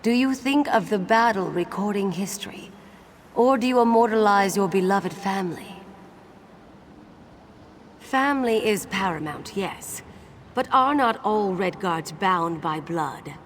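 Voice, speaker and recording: A woman voicing the wistful spirit of a legendary warrior maiden in a fantasy game, telling her tale in a solemn, measured way. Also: mid-range voice, lively intonation, slight background noise, lightly acted. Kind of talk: scripted fantasy game acting.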